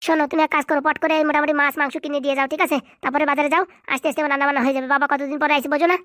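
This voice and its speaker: A woman's voice: sits high, little pitch movement, racing, a bit bright, dry recording, clean studio sound. A woman voicing a bossy young wife in a comedy cartoon, scolding and pleading like a devoted daughter. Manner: scolding, nagging.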